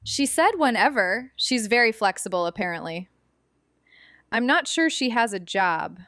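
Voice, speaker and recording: A woman's voice: fairly high pitch, animated, moderate pace, a bit bright, no echo, studio quality. A woman playing a stubborn, overconfident twelve-year-old girl detective in an audio drama, emphatic and self-assured. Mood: excited, cocky. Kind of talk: scripted audio drama acting.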